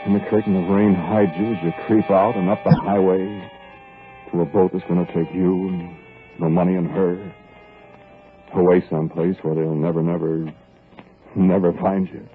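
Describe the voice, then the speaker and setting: A deep, hard-edged robber on the run tells his story straight to the listener, as a man acts him out. He talks in gruff, clipped phrases in an old 1940s radio play, heard through a thin, tinny broadcast with music underneath. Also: lightly acted. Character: hard-bitten male robber on the run, tense and curt. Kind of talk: 1940s radio drama acting with narration.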